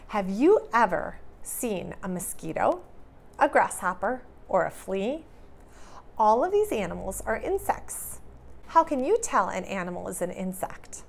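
A woman's voice: medium pitch, vocal fry. A woman preschool teacher giving a read-aloud lesson for young children, with careful, simple, questioning delivery.

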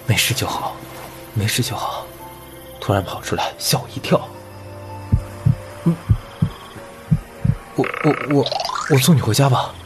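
A young man acting a hot-tempered but caring high school boy in a Chinese audio comic drama. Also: lively intonation, measured pace, long pauses, lightly acted. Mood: relieved, flustered. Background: background music.

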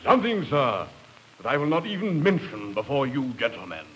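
Pompous blustering English gentleman, swooping pitch. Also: sing-song, a little fast. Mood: outraged, blustering. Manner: spluttering, stammering, pompous. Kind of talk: 1950s radio drama acting. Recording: hissy 1950s radio recording.

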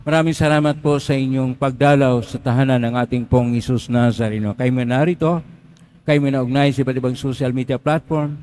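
A priest leads the closing prayers and blessing of a Mass. He speaks in a solemn, chant-like intoning manner at a medium pitch. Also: dark tone.

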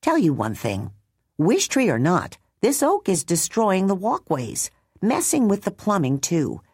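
A woman's voice: mid-range voice, wildly swooping pitch, measured pace, darkish tone, husky, dry recording, clean studio sound, voice pushed up, full character voice. A woman voicing a stern, tired older woman who speaks bluntly. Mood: weary.

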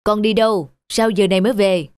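A woman voicing a stern, worried mother who nags and reproaches her teenage son in an animated drama. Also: medium pitch, a little fast, smooth, dry recording, close to an everyday voice. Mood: scolding. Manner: nagging, reproachful.

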